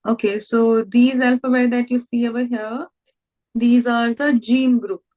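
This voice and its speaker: A woman's voice: on the high side, fairly even intonation, lo-fi sound. Indian woman tutoring over a video call. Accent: Indian English. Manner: patient, repeats words slowly for the student. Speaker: language tutor. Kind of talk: online language lesson.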